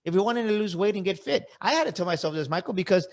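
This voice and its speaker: A man in his forties hosts a motivational podcast, pressing his points with stacked, repeated phrases. His voice sits a little high for a man, and he speaks with urgency. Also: expressive, racing. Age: 40s. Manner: fervent, repeats phrases for emphasis. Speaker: podcast host. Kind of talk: motivational interview talk.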